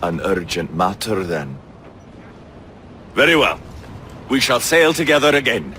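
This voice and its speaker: A learned, courteous gentleman in a period video game. His voice is bright and crisp-edged, formal yet animated in pitch, with faint background noise. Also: measured pace, heavily acted. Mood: courteous, intrigued. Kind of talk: period game cutscene acting.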